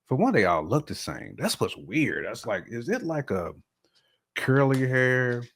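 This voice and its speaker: A man in his forties co-hosting a talk show, giving casual opinions in colloquial, halting speech that often repeats words. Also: mid-range voice, sing-song, a bit bright. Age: 40s.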